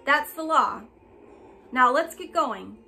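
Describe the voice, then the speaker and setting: Woman doing a gruff folksy country lawman, bossy and loud, soft music behind. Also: high-pitched, lively intonation, moderate pace, heavily acted. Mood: threatening. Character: blustering rural lawman, middle-aged.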